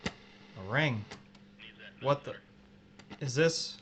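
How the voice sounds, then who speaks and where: A man playing a guilty, frightened coworker in an audio drama, speaking in a halting, emotional way. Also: mid-range voice, lively intonation, noisy background. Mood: horrified. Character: guilty, frightened adulterous coworker, pleading then outraged.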